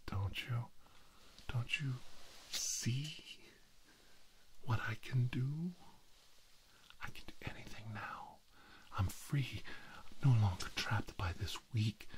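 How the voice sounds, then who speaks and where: A man voicing a middle-aged mad scientist villain, grandiose and obsessive, muttering haltingly to himself in an ASMR roleplay monologue. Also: fairly low pitch. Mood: infatuated, then grandiose menace.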